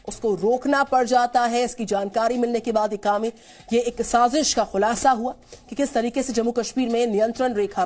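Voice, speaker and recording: An Indian woman anchors a television news bulletin. Her voice is on the high side and her delivery is formal and emphatic. Light news music plays behind her. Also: a little mellow. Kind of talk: Hindi television news presenting. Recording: studio voice over news music.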